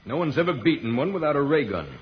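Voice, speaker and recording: A man's voice: on the high side, animated, fast, close to an everyday voice. Muffled 50s style radio space hero, american guy acting grave earnest and brave, bit of noise. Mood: grave, urgent. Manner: earnest, solemn. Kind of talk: old-time radio serial acting. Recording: muffled, noisy vintage radio recording.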